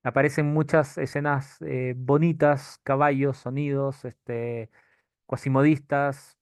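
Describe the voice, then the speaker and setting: A man's voice: medium pitch, level tone, slow, clean tone, dry recording, studio quality. A man with a non-Chilean Spanish accent giving an informal history lecture and answering questions, hesitant as he searches for his words. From outside Chile.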